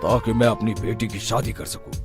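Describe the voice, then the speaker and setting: A man's voice: on the low side, brisk, natural voice. A man playing a proud, anxious village landlord in a cartoon story, with music behind him. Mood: anxious, determined.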